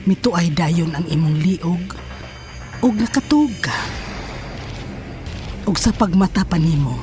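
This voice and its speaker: A woman's voice: mid-range voice, mellow, lightly acted. Intense mystic healer woman over music played by an actress. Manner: dramatic, deliberate. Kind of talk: horror radio drama acting.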